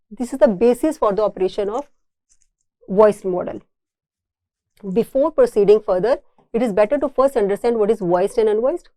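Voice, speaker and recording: A woman's voice: on the high side, warm tone, no room sound. A woman with an Indian accent giving an engineering lecture, explaining slides in a didactic way and restating key points.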